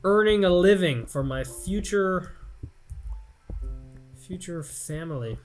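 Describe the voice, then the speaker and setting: A man's voice: sits high, lively intonation, takes it slowly, faint music behind. An American man in his late twenties, rambling and self-mocking as he thinks aloud over gameplay commentary at his home computer. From United States. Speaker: Let's Play gamer.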